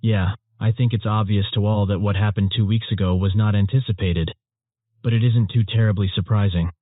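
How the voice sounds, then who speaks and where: Narrow, phone-like sound with no room to it frames a lowish male voice. A man plays an earnest storm chaser, giving measured, scripted interview answers. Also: steady tone, dry recording, natural voice. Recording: muffled narrowband phone-like audio.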